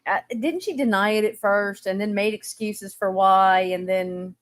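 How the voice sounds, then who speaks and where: A woman's voice: mid-range voice, unhurried, dry recording, clean studio sound. A woman with a Texan accent co-hosting a casual live-stream chat, full of sarcastic, mocking asides. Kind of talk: casual drama commentary chat.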